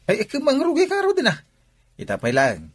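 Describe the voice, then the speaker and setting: A man's voice: very high voice, wildly swooping pitch, a little fast, slightly rough, exaggerated voice. Chummy, cajoling Filipino man. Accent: Filipino (Ilocano). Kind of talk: radio comedy-drama acting.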